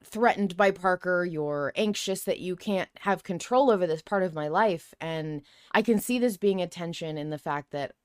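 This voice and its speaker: A woman's voice: fairly low pitch, normal speed, few pauses, smooth, no echo, studio quality. A woman hosting a podcast, chatting casually and musing informally about a story. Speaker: podcast host. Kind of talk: casual discussion of a fiction podcast.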